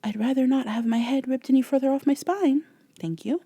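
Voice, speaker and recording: A woman playing a defiant, sharp-tongued captive human girl in an ASMR vampire roleplay, curt and sarcastic. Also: high voice, fairly quick, breathy edge, no room sound, studio quality, pitched above the performer's own voice. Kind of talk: soft, breathy ASMR roleplay acting.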